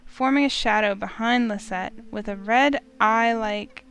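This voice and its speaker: A woman hosting a game playthrough, reading the story aloud as expressive narration. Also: on the high side, measured pace, a little hiss or hum.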